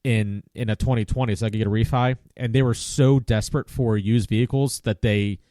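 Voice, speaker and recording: A man's voice: fairly low pitch, fairly even intonation, fairly quick, dark tone, dry recording, clean studio sound. A man in his late thirties hosting a casual chat, talking in a rambling, easygoing way and laughing often. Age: 30s. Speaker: podcast host.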